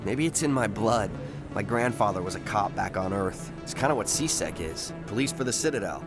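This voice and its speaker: A man's voice: lowish voice, animated, moderate pace, music in the background. An actor playing an eager, starstruck young policeman in a video game, chatty and deferential with boyish enthusiasm. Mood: chatty, enthusiastic. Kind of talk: natural video game acting.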